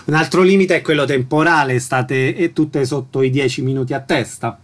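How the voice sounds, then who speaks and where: A man's voice: medium pitch, moderate pace. A man hosting a radio programme, interviewing guests and announcing events.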